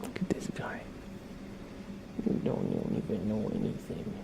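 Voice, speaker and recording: A man hosts an ASMR livestream in a soft, crackly whisper. His voice sits fairly low, and he rambles gently and slowly, as if casually chatting with viewers. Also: huge pitch swings. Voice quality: soft breathy whisper, gravelly. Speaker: live streamer greeting viewers.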